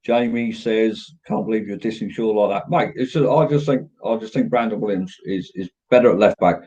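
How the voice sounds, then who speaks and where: A man with a southern English accent and a very deep voice, hosting a casual football fan show and reading out viewers' comments. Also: fairly quick, dark tone, slightly rough. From England. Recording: dull, lo-fi audio.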